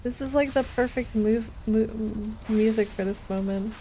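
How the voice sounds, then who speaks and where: A woman's voice: a little high, moderate pace, mellow, a little hiss or hum, low-quality recording. A woman livestreaming a video game, giving casual commentary and chatting to her viewers. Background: faint video game sound.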